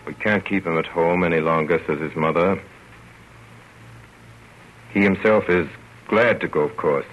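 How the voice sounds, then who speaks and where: Deep-voiced wry father, old radio. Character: fond, wry, gentlemanly father telling his story. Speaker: narrator. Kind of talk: 1930s radio storytelling. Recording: muffled, noisy old radio broadcast.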